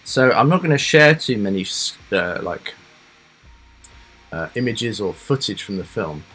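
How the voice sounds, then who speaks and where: A man hosting a casual live stream, chatting loosely to his viewers with lots of fillers, rambling asides and soft laughs. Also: fairly low pitch, sing-song, a little slow, slightly rough, faint music behind, low-quality recording. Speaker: reaction livestream host.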